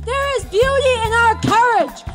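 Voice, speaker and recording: A woman's voice: very high-pitched, moderate pace. A woman giving a rousing, declamatory speech built on repeated refrains. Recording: music under the speech. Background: music.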